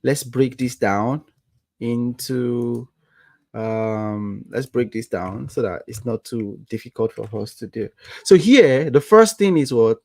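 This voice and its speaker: A man's voice: mid-range voice, expressive, slow pace, vocal fry, a little mellow, no echo. A young Nigerian man with a Nigerian accent, teaching code on a livestream and asking the viewers rhetorical "what?" questions as he goes. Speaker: coding livestream instructor. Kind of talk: live coding tutorial with chat.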